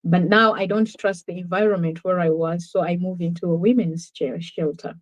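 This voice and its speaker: A woman's voice: on the low side, fairly even intonation, normal speed, highs cut off. Earnest Ugandan woman telling her story. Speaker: interview guest. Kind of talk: personal testimony in conversation.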